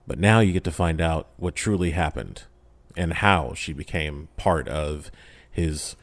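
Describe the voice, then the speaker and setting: A man with a deep, low-pitched voice speaks in a close, dry recording. He talks like an interview guest recapping a saga, informal and slangy, leaning into dramatic emphasis as he tells it. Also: measured pace, no room sound. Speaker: podcast guest, audio drama creator. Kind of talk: casual interview talk, recapping a story.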